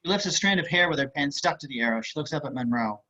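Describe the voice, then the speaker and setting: A man's voice: on the high side, animated, fast, bright, forceful tone. A man reading screenplay action as scripted narration over a video call. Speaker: stage directions reader. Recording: slightly muffled video-call audio.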